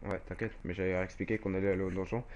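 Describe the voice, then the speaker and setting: A young man joining a friend's gaming video over voice chat, calmly explaining their plans. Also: deep voice, racing, a little hiss or hum. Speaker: co-player and friend.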